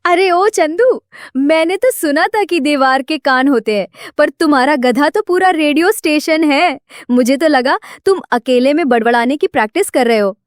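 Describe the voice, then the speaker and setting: A woman's voice: high-pitched, lively intonation, normal speed, no room sound, clean studio sound. A woman playing a teasing, melodramatic village housewife in a Hindi comedy cartoon. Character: chatty, melodramatic village sister-in-law housewife.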